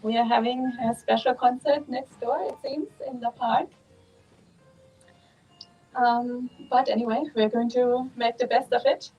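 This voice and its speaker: A woman from Germany with a German accent, a Buddhist nun giving a calm, measured dharma talk at a monastery, pausing often with ums. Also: a little high, slightly rough, highs cut off, soft background music.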